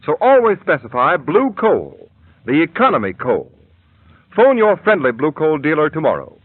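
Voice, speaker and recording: Animated husky 1930s radio announcer man. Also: a little high, normal speed, dry recording. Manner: emphatic, polished salesmanship. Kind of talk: 1930s radio ad read and scripted narration. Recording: tinny, dry vintage radio broadcast.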